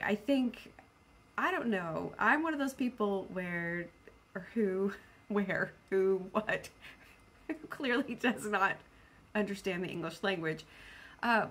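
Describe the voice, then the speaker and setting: A woman chatting casually and spontaneously as a book vlogger, rambling in a playful, self-deprecating way. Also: mid-range voice.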